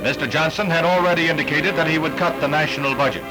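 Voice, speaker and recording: A man's voice: fairly high pitch, fast talker, low-quality recording. An American man narrating a scripted 1960s newsreel in a formal, declamatory announcer style on a vintage soundtrack. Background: orchestral newsreel music.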